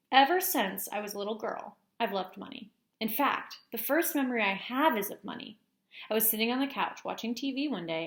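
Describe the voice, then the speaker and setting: A woman's voice: fairly high pitch, fairly bright tone. A woman voicing an eager, money-loving eleven-year-old girl who narrates a storybook earnestly and with dramatic emphasis. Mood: earnest, confessional. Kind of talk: storybook first-person narration.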